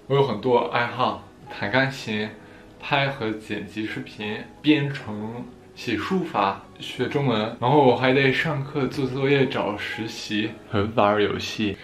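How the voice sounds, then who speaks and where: A man's voice: medium pitch, slow. A young man from France answering viewer questions in a casual vlog, playful and joking at his own expense. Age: young adult. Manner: playful, jokey, laughs at himself. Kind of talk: casual Q&A vlog, switching between French and Chinese. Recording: echoey room with soft background music.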